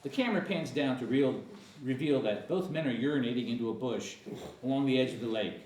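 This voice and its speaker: A man's voice: mid-range voice, brisk, a little mellow. A man reading scripted stage directions as narrator at a screenplay table read. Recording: echoey room, distant mic, faint noise.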